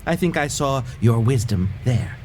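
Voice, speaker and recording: A man acts out a mocking court fool full of wordplay in a Shakespearean radio play. The middle-pitched voice lilts and swoops in an animated, teasing way. A faint bed of noise sits behind it. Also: unhurried, close to an everyday voice. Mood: impish, mocking. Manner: teasing, lilting, theatrical. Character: impudent, riddling male jester, a wordplaying fool. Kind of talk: Shakespearean audio play acting.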